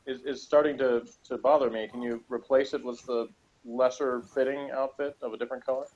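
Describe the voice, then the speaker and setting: A man's voice: medium pitch, fairly even intonation, fairly quick, a little mellow. A man co-hosting a podcast, giving casual, joking and sarcastic commentary on a TV episode. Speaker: podcast co-host. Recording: dull lo-fi recording.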